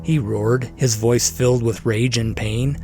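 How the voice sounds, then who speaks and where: A man's voice: mid-range voice, fairly even intonation, measured pace, warm tone. A man reading scripted dramatic fantasy narration. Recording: clear music bed behind.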